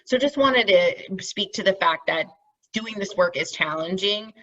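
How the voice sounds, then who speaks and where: A woman's voice: mid-range voice, lively intonation, a little slow, a bit bright. A middle-aged woman facilitating a group call, explaining things informally and encouragingly and often checking in with listeners. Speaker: meeting facilitator, team lead. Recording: dull, distant video-call audio.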